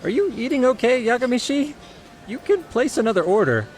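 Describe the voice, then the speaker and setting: A man's voice: very high-pitched, big pitch swings, brisk, soft background music, full character voice. An actor playing a polite, earnest detective agency leader in a video game, formal in his wording. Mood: courteous. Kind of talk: video game cutscene voice acting.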